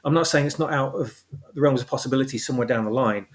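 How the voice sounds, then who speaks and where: A man with a medium-pitched voice talks casually as a guest about creative work. He speaks haltingly, circling back and rewording his phrases as he goes. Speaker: guest, audio drama creator. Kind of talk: casual discussion.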